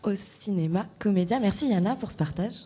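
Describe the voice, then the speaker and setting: A woman's voice: medium pitch, animated, a little fast, mellow, slightly rough. A woman hosting a cultural interview, putting partly prepared questions to guests. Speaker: interviewer and host.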